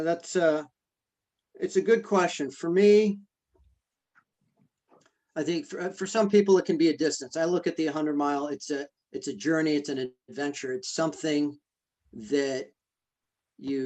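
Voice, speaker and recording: A man answering interview questions in a halting, reflective way, with many fillers and restarts. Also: a little high, steady tone, darkish tone. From United States. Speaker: guest, ultrarunner. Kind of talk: casual interview conversation.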